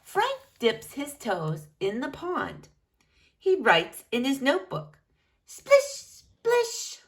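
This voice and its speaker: Slow, very animated woman reading to children. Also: high-pitched. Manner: deliberate, expressive, addressing young listeners. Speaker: storybook reader for children. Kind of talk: children's read-aloud narration.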